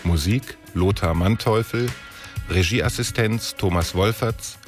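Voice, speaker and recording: A man reads the credits of a radio drama in a plain, formal tone. His voice is deep. Music plays softly behind him. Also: slow. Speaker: credits announcer.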